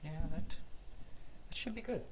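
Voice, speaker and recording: A man making casual, practical asides while helping with the microphone at a service. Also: takes it slowly. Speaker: sound helper adjusting the microphone. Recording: clear background noise, clicks, uneven level.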